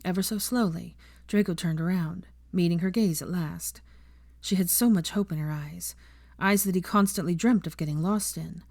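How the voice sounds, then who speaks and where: A woman reading fiction aloud as an audiobook narrator, in an expressive scripted style. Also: fairly low pitch, moderate pace, gravelly, no echo, studio quality.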